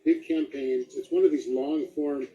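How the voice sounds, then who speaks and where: A man's voice: on the high side, fairly even intonation, unhurried, warm tone. A middle-aged man chatting casually as an interview guest, with a self-deprecating manner. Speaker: guest voice actor. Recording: dull, lo-fi audio.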